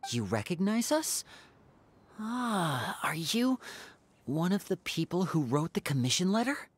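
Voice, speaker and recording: A woman voicing a cool, reserved woman hunter in a game, calm and measured. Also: lowish voice, slightly rough, no echo, full character voice. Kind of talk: game character dialogue.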